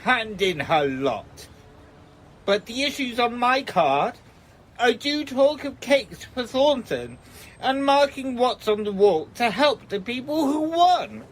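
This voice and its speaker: A woman's voice: on the high side, animated, slow pace, slight background noise. A woman playing a ranting, confrontational street doomsayer in an audio drama, declaiming in rambling speeches. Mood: agitated, accusing. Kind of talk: theatrical audio drama monologue.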